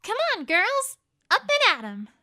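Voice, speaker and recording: A bright, forceful young female pony played by a woman with a high voice that moves in lively swings. She is a bashful bookworm from a fan audio drama, earnest and prone to halting, stammered phrases. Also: exaggerated voice. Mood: brisk, rousing.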